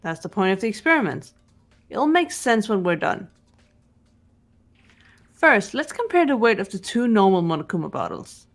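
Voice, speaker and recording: A woman playing a cool, analytical teenage girl detective in a game voice-over, speaking in a measured, serious way. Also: mid-range voice, sing-song. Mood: businesslike. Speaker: amateur voice actor. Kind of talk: fan voice-over of a visual novel game. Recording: game music under the voice.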